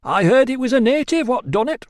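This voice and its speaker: A man voicing a chatty, nosy male barber who talks in rough, colloquial grammar. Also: very high voice, animated, fairly quick, dry recording, clean studio sound, voice pushed up. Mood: gossipy. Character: chatty gossiping male barber, middle-aged.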